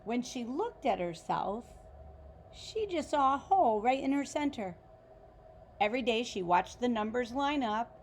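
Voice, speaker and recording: A woman reading a children's picture book aloud like a teacher, expressively and deliberately, with questions to the listeners. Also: a little high, a little hiss or hum. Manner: animated, deliberate, pauses to ask listeners questions.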